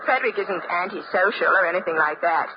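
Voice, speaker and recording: A woman's voice: lowish voice, lively intonation, quick pace, background noise, natural voice. Actress as a fluttery, genteel radio-drama lady, muffled old recording. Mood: confiding, fluttery. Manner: polite, fluttery, effusive. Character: chatty, genteel married lady on an ocean voyage.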